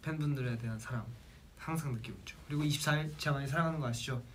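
A man's voice: medium pitch, a bit bright, a little hiss or hum. A young man from South Jeolla chatting casually with fans on a livestream and telling stories. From South Jeolla, Korea. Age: young adult. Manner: quick, chatty storytelling, reading out a list. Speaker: idol group member on a livestream. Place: small indoor room with a plain wall.

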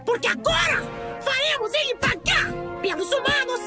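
A woman with a Brazilian accent playing an excitable, bossy little creature who leads a team in a cartoon dub. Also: very high voice, normal speed. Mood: bossy, rousing. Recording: loud music behind the voice.

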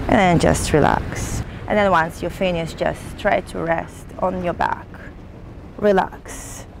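A middle-aged woman calmly guiding a stretching session as a yoga instructor, pausing between cues, outdoors on a boat. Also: lowish voice, gravelly. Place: outdoors on a sailboat deck at sea. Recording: windy outdoor boat noise.

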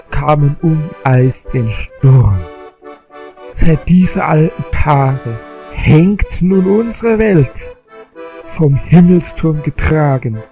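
A man performs as a solemn bard in a fantasy radio play. He gives lyrical verse very slowly in a mellow, fairly high male voice over music. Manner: measured, lyrical. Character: solemn bard reciting an old elven legend. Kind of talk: slow sung-like verse in fantasy audio drama.

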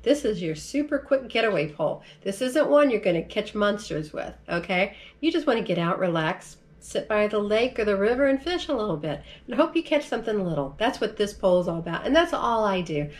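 A woman's voice: mid-range voice, big pitch swings, brisk, few pauses. Chatty middle-aged American woman, folksy. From United States. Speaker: vlogger. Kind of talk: casual product demonstration. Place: large furnished room at home, indoors.

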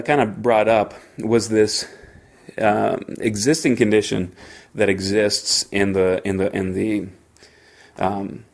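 A man hosting a solo podcast, talking informally and haltingly as he thinks aloud. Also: lowish voice. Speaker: podcast host; engineer.

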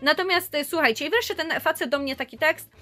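Young woman with a high voice, chatty vlog storytelling, talks with her hands, faint music under it. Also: a little fast, bright, forceful tone. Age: young adult. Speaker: vlogger. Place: small indoor room.

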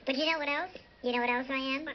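A man voicing a cute, boastful British chipmunk who chats excitably on a radio phone-in show. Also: very high-pitched, rapid-fire. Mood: playfully boastful. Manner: chatty, excitable, self-deprecating boasting. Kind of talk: comic radio phone-in character chat. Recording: muffled radio replayed through a device speaker, faint noise.

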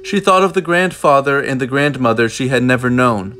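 A man reading a children's picture book aloud in an expressive way, with deliberate, dramatic phrasing. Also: mid-range voice, slight background noise. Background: music.

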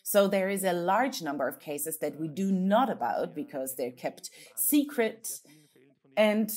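A woman interpreting a conference talk into English, measured and steady, with frequent hesitations and self-corrections. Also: lowish voice.

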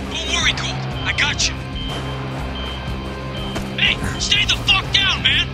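Game firefight role: frantic trooper, top-of-range shouting over very loud music. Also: male voice, very high voice, slow. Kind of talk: video game combat shouting.

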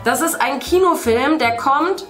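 Female craft-channel host, middle-aged, fairly high, casual explainer for kids, faint music underneath. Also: a little slow. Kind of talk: casual explaining to young viewers. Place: indoor room, seated at a craft table.

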